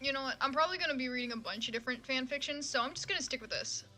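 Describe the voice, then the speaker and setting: A woman's voice: high voice, racing, bright, forceful tone, faint music behind. A woman livestreaming fan fiction readings in a casual, sweary, self-mocking way. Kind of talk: casual livestream chat and comic read-aloud.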